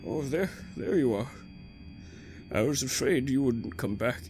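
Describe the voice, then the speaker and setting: A man's voice: mid-range voice, lively intonation, unhurried, darkish tone, soft background music. A man playing a desperate, forgetful old prisoner in an audio drama, anxious and faltering. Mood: anxious relief.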